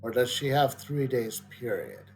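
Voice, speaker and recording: A man giving casual live commentary on a game, thinking aloud in a pensive, halting way. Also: mid-range voice, big pitch swings, a little mellow. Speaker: game streamer. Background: game music.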